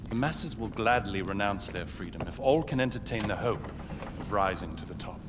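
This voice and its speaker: A man playing an ambitious, coldly calculating military conspirator in a video game, speaking in measured, grandiose speeches. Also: lowish voice, expressive, background noise, natural voice. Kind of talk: dramatic video game acting.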